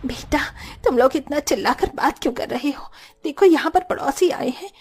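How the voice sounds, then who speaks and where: A woman voicing a gentle, long-suffering mother in her fifties in a Hindi cartoon story. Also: sits high, normal speed. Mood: hurt, pleading. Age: 50s. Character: gentle, long-suffering fifty-year-old well-off mother.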